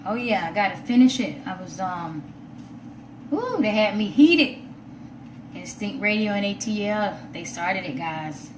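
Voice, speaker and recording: A woman hosts a casual livestream in a roomy, echoing space. She sits back from the mic, with clear noise around her. Her talk is informal and chatty, drifting between addressing viewers and muttering to herself. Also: medium pitch, lively intonation, unhurried. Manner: informal, chatty, talking to herself while setting up. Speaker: livestream host. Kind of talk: casual live chat to online viewers. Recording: roomy, distant mic with loud background noise.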